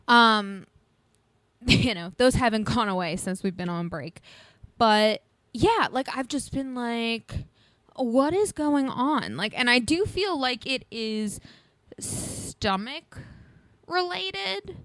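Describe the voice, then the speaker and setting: A woman's voice: on the high side, lively intonation, slow, a bit bright, dry recording. A woman around thirty co-hosting a podcast, chatting casually and a bit sniffly, rambling with frequent laughs. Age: 30s. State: sniffly, congested. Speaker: podcast co-host. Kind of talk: casual chat.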